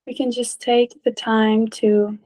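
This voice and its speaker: A woman leading a guided meditation in a gentle, calm, unhurried way, leaving long pauses between short phrases. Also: a little high. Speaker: meditation teacher.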